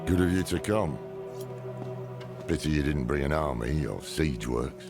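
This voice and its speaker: An old demon hunter for a dark fantasy game. The voice is rock-bottom low and full of gravel. He sounds blunt, sardonic and weary of fools, over clearly audible music. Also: animated, a little slow, exaggerated voice. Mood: sardonic, stern. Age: older. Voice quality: deep, gravelly. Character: gruff, cynical old male demon hunter. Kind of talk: dramatic video game acting. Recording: dramatic music under the voice.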